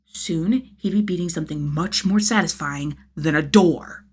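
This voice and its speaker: A woman reading a story aloud as an expressive scripted narration, with a slightly husky voice. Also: lowish voice, a little slow. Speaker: audiobook-style narrator of fan fiction.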